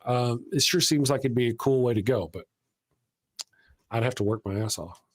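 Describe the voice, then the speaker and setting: A man's voice: medium pitch, moderate pace. A man hosting a live stream, chatting casually and rambling in a self-deprecating way. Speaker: host of a live show.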